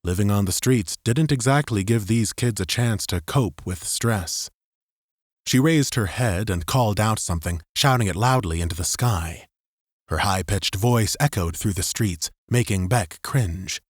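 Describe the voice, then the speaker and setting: Deep-voiced male audiobook narrator. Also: unhurried, dry recording, studio quality. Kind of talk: scripted dramatic fiction narration.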